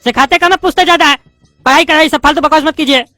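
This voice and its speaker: A voice actor doing a squeaky, touchy bald politician. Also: pushed and bright, dry recording. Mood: arguing. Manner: indignant, rapid-fire arguing and lecturing. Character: bald loudmouth male politician student, touchy and argumentative. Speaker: adult student in a class.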